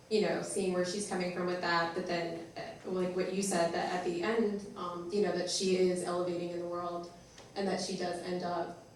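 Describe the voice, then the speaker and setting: A woman talking informally as a performer about a role, hesitant, self-deprecating and thinking aloud. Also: fairly low pitch. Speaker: performer discussing her character. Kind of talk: informal panel discussion. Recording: echoey room, distant mic, faint noise.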